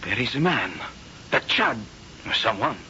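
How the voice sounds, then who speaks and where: A man's voice: medium pitch, very animated, a little slow, bright, forceful tone, full character voice. An actor playing a naive, hot-headed young Spanish man in love, earnest and melodramatic in a 1970s radio drama. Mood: hurt, jealous. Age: young adult. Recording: muffled 1970s radio broadcast, faint noise.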